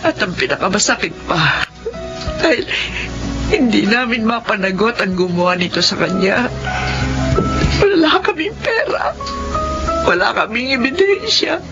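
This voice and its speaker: A woman's voice: on the high side, sing-song, measured pace, pauses often. An actress playing a worried, sorrowful Filipino woman in a radio melodrama, with an emotional, pleading delivery. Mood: heartbroken. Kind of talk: melodramatic radio drama acting. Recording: muffled lo-fi drama audio, music behind.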